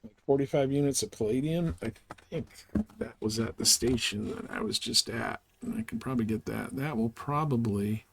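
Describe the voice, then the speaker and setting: A man's voice: mid-range voice, moderate pace, gravelly, breathy edge. A man giving casual, rambling gameplay commentary as a live streamer, explaining things as he goes.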